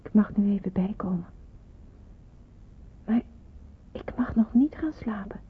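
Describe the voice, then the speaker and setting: Radio drama, narrow muffled sound: a wary young woman in wartime hiding, introspective, very breathy. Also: mid-range voice, unhurried. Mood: musing, bewildered. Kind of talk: radio drama narration and dialogue. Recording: muffled, narrow-band old radio recording.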